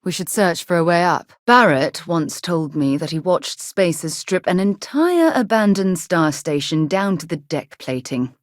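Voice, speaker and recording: A woman playing an earnest, sharp-minded space explorer companion in a video game, speaking articulately and formally. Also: lowish voice, expressive, unhurried, few pauses, no echo, studio quality. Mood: composed. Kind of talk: scripted game dialogue acting.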